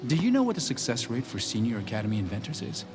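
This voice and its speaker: An actor playing an earnest, idealistic young inventor turned city councilor in an animated drama, intense and resolute. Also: male voice, medium pitch, fast. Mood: firm, proud. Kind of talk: dramatic animated series dialogue. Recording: dialogue over clear background music. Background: music.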